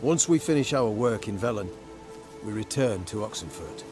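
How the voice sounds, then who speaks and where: An actor playing a devout, firm officer of a zealous church in a video game, formal and composed. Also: male voice, fairly low pitch, lively intonation, moderate pace, natural voice. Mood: solemn, firm. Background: game music.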